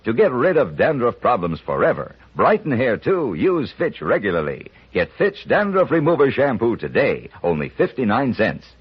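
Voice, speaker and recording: A man's voice: medium pitch, wildly swooping pitch, moderate pace, hardly any pauses, husky. A man announcing in a formal, emphatic, scripted 1950s radio style. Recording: dull lo-fi old radio, music behind.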